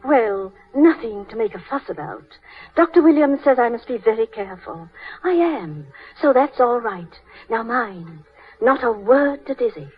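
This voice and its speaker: An actress playing an affectionate, brisk elderly English wife in a 1930s radio drama, fond and reassuring. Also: female voice, fairly high pitch, very animated, husky. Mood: confiding. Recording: muffled 1930s radio broadcast recording.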